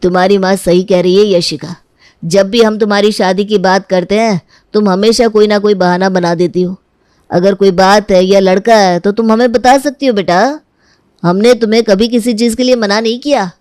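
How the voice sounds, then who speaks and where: A woman's voice: medium pitch, fairly even intonation, moderate pace, darkish tone, no echo, studio quality, close to an everyday voice. A woman voicing a concerned, firm middle-aged father in a cartoon family story. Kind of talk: cartoon moral-story dialogue.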